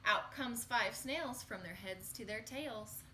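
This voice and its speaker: A woman reading a picture book aloud to young children in an expressive, sing-song storytelling manner. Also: on the high side, slow pace, noisy background.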